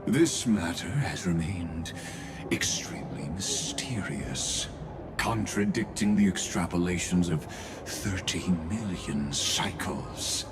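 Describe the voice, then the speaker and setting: An actor voicing a composed, scheming game villain with sly, measured, formal courtesy. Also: male voice, low voice, expressive, over music. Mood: grave, insinuating. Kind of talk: video game voice acting.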